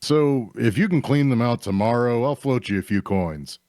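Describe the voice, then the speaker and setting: An actor playing an easygoing, practical tavern keeper in a fantasy drama read, casual and matter-of-fact. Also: male voice, mid-range voice, animated, normal speed, a little mellow, dry recording, studio quality, close to an everyday voice.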